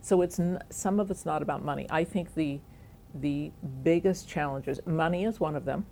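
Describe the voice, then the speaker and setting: A woman educator speaking earnestly and in a measured way in an interview about higher education. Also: vocal fry, dark tone, slight background noise. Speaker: educator guest. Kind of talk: conversational interview.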